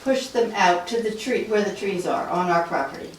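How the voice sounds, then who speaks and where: A woman's voice: mid-range voice, normal speed. A woman speaking as a resident property owner in a public meeting discussion. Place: indoor public meeting room. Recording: very echoey room, distant mic, faint noise.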